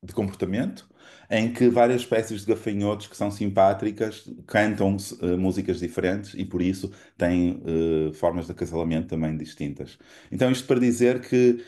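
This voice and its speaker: A Portuguese man with a European Portuguese accent delivers an academic lecture. His voice sits very low and sounds dark and mellow. He explains step by step, often pausing on fillers and restarting his sentences. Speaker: guest lecturer.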